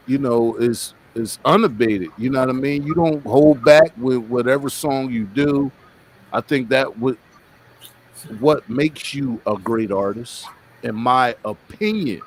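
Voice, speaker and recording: A man's voice: mid-range voice, darkish tone, slight background noise, rough recording. American man hosting, emphatic and informal. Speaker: podcast host. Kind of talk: casual interview chat.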